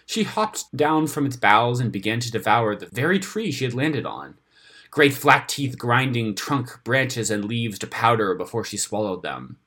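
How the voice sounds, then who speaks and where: A man narrates an epic fantasy tale in a medium-pitched, slightly rough voice. His delivery is dramatic and expressive, with pitch that moves freely up and down as he reads from a script. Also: a little slow. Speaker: story narrator reading own fantasy tale.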